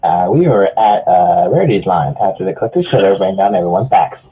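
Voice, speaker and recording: A man's voice: sits low, animated, a little fast. A man giving an informal amateur story narration, stumbling over lines, recorded through a muffled phone-like channel. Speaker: fanfiction narrator.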